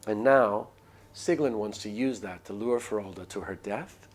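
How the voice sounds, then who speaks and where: A man's voice: lowish voice, moderate pace. A man reading a visual novel aloud on a live stream, moving between dramatic narration and casual asides.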